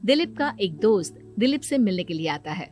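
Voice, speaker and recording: A woman's voice: mid-range voice, very animated, moderate pace, a little mellow, faint music behind. A woman narrator reading a scripted family moral story.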